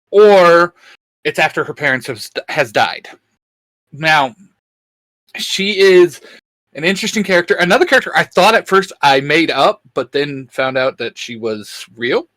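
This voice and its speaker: A man with a bright, forceful voice that sits a bit high co-hosts a casual chat about geeky things. He talks haltingly, thinking out loud, and sounds close and dry. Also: no echo. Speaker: co-host.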